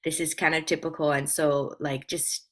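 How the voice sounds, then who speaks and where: A woman's voice: deep voice, level tone, normal speed. A woman from Hawaii, around her thirties or forties, speaking as a guest in a reflective, informal, conversational way. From Hawaii, USA. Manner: reflective, informal, uses "like" often. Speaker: guest, life coach and former therapist. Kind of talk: casual personal storytelling.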